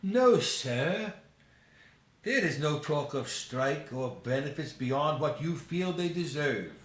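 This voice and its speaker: Guy doing an earnest polite nephew from a storybook, affectionate, highish voice, echoey room. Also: lively intonation, slow. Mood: respectful. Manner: earnest, courteous, formal.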